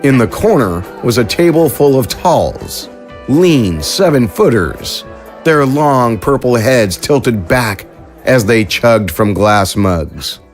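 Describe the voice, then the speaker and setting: A man narrating a science fiction story in a measured, even storytelling manner. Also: medium pitch, soft background music. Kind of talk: scripted audiobook narration.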